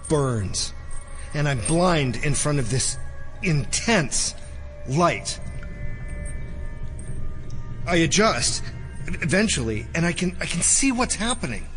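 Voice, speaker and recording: An actor playing a haunted, bewildered male prisoner in an audio drama, with a halting, intense delivery. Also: on the high side, a bit bright, heavily acted.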